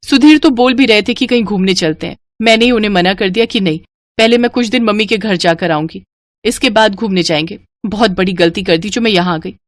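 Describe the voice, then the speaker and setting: A woman's voice: medium pitch, a little fast, no room sound, lightly acted. A woman voicing a polite young married daughter in a cartoon. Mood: upset.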